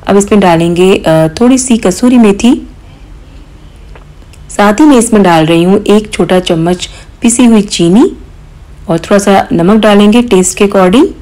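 A woman hosting a cooking channel, calmly explaining each step of a recipe in voice-over, with occasional small hesitations. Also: mid-range voice, measured pace, low-quality recording. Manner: calm step-by-step instruction with mild hesitations.

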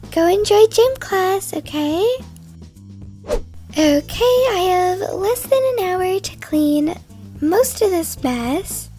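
A female performer voicing a cheerful, kind woman schoolteacher in a children's animated roleplay, speaking in an upbeat, instructive way. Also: very high voice, heavily acted. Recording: music behind the voice.

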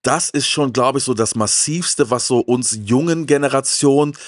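Man, early 40s, talk show host, slangy, emphatic storyteller, medium pitch, dry handheld mic sound. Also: moderate pace, no echo. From Germany. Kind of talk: casual chat, personal anecdotes. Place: indoor room with shelves of films. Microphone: handheld microphone.

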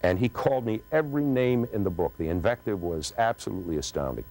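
A middle-aged man with a very low voice tells a story from his radio career. His phrasing is polished, deliberate and dramatic, like a practised broadcaster on stage. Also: animated, darkish tone. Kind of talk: polished anecdotal storytelling to an audience. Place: on a stage in front of a curtain.

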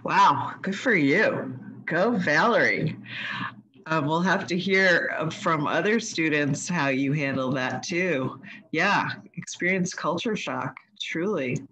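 A woman moderates a panel on a video call. She speaks slowly in a lower voice, with short encouraging prompts. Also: expressive, fairly bright tone, breathy edge, poor audio.

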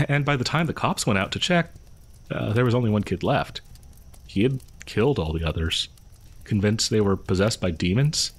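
A man's voice: on the low side, lively intonation, moderate pace, breathy edge. Man acting as hesitant, friendly, awkward young local guy. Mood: casual, gossipy. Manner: hesitant, rambling, nervous chuckles. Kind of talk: roleplay audio horror storytelling, conversational.

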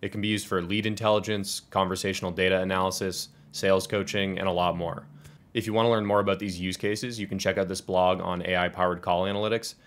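Low-voiced young American man explaining code. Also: flat delivery, fast talker. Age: 20s. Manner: explanatory, step-by-step. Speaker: tutorial presenter. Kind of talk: scripted software tutorial walkthrough.

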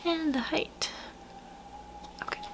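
Young woman doing asmr roleplay, soft whispery high voice, gentle and unhurried, noisy background. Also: huge pitch swings. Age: young adult. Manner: gentle, unhurried murmuring to the listener. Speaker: ASMR artist roleplaying a portrait painter. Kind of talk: ASMR personal-attention roleplay.

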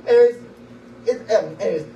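A man's voice: high voice, fairly quick, vocal fry, dark tone, slight background noise. A young man chatting casually between songs at an open mic, rambling self-consciously and often trailing off. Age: young adult. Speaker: amateur singer-songwriter at an open mic. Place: small wood-ceilinged open mic venue, indoors. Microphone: phone in the audience, far from stage. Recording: distant, roomy, dull phone recording.

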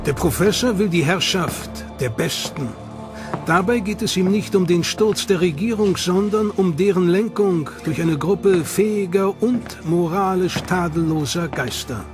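A man giving measured, scripted narration for a history documentary. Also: sits high. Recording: music bed under voice.

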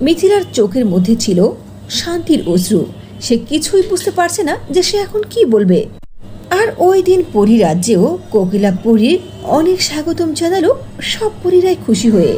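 A woman's voice: high voice, sing-song, normal speed, dark tone. A woman narrating a scripted fairy tale as a storyteller. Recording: faint noise under voice-over.

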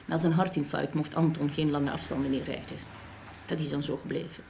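A woman's voice: sits low, level tone, brisk, gravelly, a little mellow, a little hiss or hum. An actress playing a prim, haughty married lady in a Dutch radio drama, speaking in a stiff, curt, disdainful way. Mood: curt, factual. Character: haughty, prim, level-headed married upper-class woman. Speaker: actor.

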